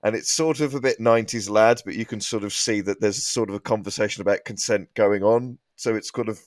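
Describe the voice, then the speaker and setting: Low-voiced man telling comic anecdotes. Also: steady tone, no room sound, clean studio sound. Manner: halting, rambling delivery. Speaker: podcast guest comedian.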